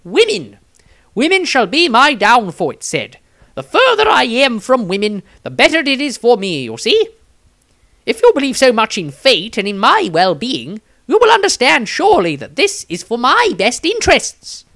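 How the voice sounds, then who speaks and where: Man acting a high-pitched, nervous, timid wizard. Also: huge pitch swings, normal speed, pushed and bright, no room sound, heavily acted. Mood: nervous, pleading. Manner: hesitant, anxious, pleading. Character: timid, nervous male wizard afraid of women.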